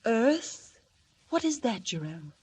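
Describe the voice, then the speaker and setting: A woman's voice: on the high side, big pitch swings, slow, darkish tone, breathy edge, exaggerated voice. An actress playing a devoted, anxious alien wife and mother, with emotive, melodramatic delivery in a 1940s radio drama. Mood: curious. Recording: noisy 1940s radio recording.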